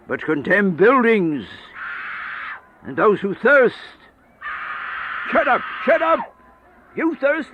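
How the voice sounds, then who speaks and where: An actor playing an aged, sickly vampire in a radio horror play, bitter and remorseful, in a grandiose, melodramatic manner. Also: male voice, high-pitched, slight background noise. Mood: mournful, then furious, exasperated. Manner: grandiose, brooding, melodramatic monologue. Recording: dull lo-fi radio play with music.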